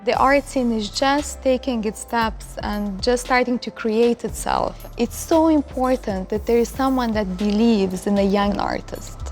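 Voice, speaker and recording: A woman's voice: fairly high pitch, dark tone. A woman from Lithuania speaking as an art hub director in a documentary interview.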